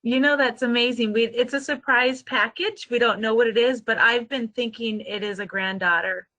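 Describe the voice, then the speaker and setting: A woman's voice: a little high, fairly even intonation, normal speed, sharp tone, low-quality recording. An older woman calling in for a reading, grateful and a little emotional. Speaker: caller getting a reading.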